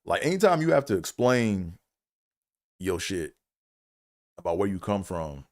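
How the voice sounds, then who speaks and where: A middle-aged man from Maryland with a very deep voice holds a casual solo livestream chat. He rambles loosely and leans on fillers and "you know what I'm saying?" The sound is dry and close, with no room around it. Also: very animated, unhurried, darkish tone, no echo. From Maryland, USA. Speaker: comedian and engineer hosting a livestream.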